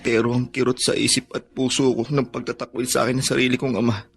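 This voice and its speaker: A man's voice: mid-range voice, flat delivery, quick pace, a bit bright, soft background music, close to an everyday voice. Man acting a devoted, suffering Filipino son. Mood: hurt, anguished. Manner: earnest, plaintive, respectful. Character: devoted, suffering, long-abused son who scavenges for junk. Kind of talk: Filipino radio drama acting.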